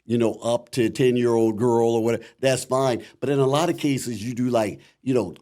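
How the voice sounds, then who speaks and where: A middle-aged man with a deep voice and a Midwestern American accent, chatting reflectively as a voice actor guest in an interview. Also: a little fast, mellow. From Akron, Ohio, USA. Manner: rambling, many "you know" fillers, reflective. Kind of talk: casual interview talk.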